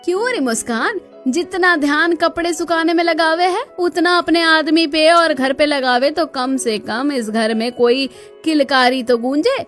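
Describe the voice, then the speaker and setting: High-pitched nagging village mother-in-law played by a woman. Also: measured pace, a bit bright, faint music behind. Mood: scolding, yearning. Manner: scolding, sarcastic. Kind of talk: Hindi cartoon dialogue.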